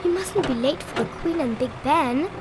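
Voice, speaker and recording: A woman's voice: high-pitched, lively intonation, normal speed, very noisy. A woman voicing an eager, anxious young male steam engine in a children's storybook, urgent and wide-eyed. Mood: curious, nervous.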